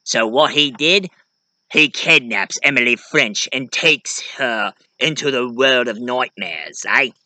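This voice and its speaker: A man talking casually and ramblingly about stories he wrote, halting and repeating phrases as he goes, with an animated storyteller's way and the odd "eh" tagged on. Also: medium pitch, dry recording. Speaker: video creator and amateur writer.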